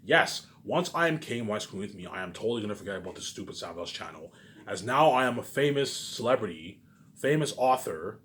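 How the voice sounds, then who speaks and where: A man talks very quickly in a fairly low voice. His casual monologue rambles and circles back on itself, with emphatic repetition and frequent tag questions. There is some noise in the recording. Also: very animated, a bit bright.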